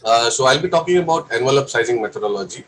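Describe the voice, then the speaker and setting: A man's voice: mid-range voice, animated, rapid-fire, fairly bright tone, slight background noise. Young Indian man lecturing with a cough. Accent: Indian English. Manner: careful explanation with frequent fillers. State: has a cough. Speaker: presenter of a course session. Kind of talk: technical lecture.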